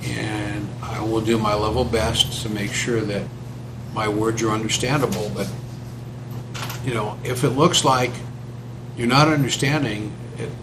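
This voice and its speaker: Panel guy giving slow careful advice, hum in the background. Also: lowish voice, fairly even intonation. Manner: joking, then slow and deliberate when advising.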